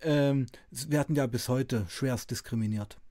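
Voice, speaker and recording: A man's voice: medium pitch, measured pace, crowd noise. A man hosting a casual interview, agreeing often and adding his own views. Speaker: podcast host.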